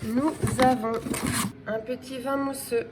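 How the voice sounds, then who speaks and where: A woman's voice: high-pitched, fairly even intonation, slow, heavy background noise. A middle-aged woman with a cold, chatting casually through a home vlog with many hesitations. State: has a cold. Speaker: vlogger. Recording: echoey room.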